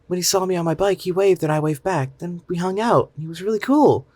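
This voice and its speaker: A man voicing an eager young boy in a story, telling things in an excited, childlike way. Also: high-pitched, fairly quick, no room sound, voice pushed up. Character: eager young boy, happy about a new friend.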